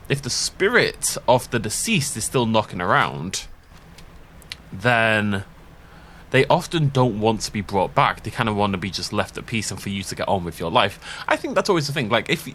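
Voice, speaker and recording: A man's voice: fairly low pitch, a little hiss or hum. A man, sniffly from a cold, chatting casually as he plays a game. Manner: chatty, rambling, animated. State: sick, itchy throat, sniffly. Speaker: let's play gameplay commentator. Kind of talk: casual gameplay commentary.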